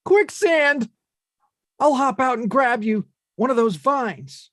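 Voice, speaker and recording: An earnest, chivalrous little repairman from a cartoon video game , acted by a man. He is polite and excitable, with pitch that leaps about. His pace is unhurried and the sound is close and dry. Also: no echo, heavily acted. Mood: panicked. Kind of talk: animated film table read.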